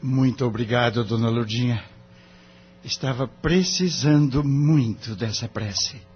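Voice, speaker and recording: A man's voice: medium pitch, measured pace, slightly rough. A man playing an earnest, respectful young man in an audio drama, polite and deferential, with a Brazilian accent. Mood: grateful. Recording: dull lo-fi audio.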